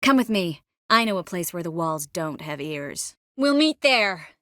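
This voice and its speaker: An actress playing an earnest, caring young sorceress in a video game, expressive and by turns determined and wistful. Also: female voice, on the low side, unhurried, no echo, clean studio sound. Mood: calm, wistful. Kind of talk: video game character dialogue.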